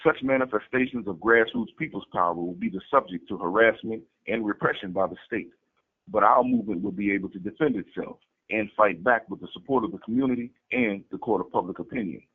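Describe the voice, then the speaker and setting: A tinny, narrow-band recording carries a low-voiced man with a rough, husky edge. He reads a political statement in a formal, declarative way, setting out each point as scripted doctrine. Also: fairly quick. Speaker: political activist reading a party strategy statement. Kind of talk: scripted reading of a political manifesto.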